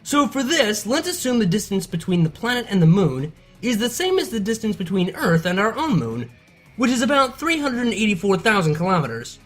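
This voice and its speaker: A performer plays an excitable pony scientist with a lively, swooping delivery. The explanations are precise and nerdy, made for a scripted cartoon science show, with music underneath. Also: medium pitch, brisk. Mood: eager explaining. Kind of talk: scripted educational dialogue. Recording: music behind the voice.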